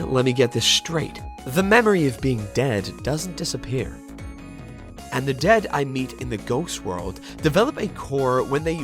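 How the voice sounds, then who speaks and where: A curious, polite ghost detective from a video game, acted out by a fandubber. The voice is mid-pitched and moves around animatedly while musing, with faint music underneath. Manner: reflective, polite, talking to themself. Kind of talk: game fandub narration and dialogue.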